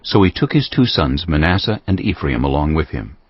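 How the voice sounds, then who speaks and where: A man narrating scripture as a dramatized story, in a husky voice with measured storytelling phrasing. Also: rumbling low, very animated, no room sound, lo-fi sound.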